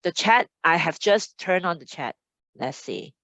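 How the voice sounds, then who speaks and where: A woman's voice: fairly low pitch, expressive, measured pace, a bit bright, dry recording. A woman hosting an online admissions webinar as a casual chat, upbeat and chatty as she reads out audience comments. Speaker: admissions host. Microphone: video call.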